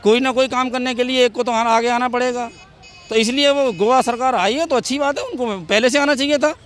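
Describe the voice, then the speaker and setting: A man's voice: extremely high pitch, brisk, fairly bright tone. A man giving his opinion in a street interview outdoors, speaking emphatically and repeating his points. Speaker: member of the public giving opinion.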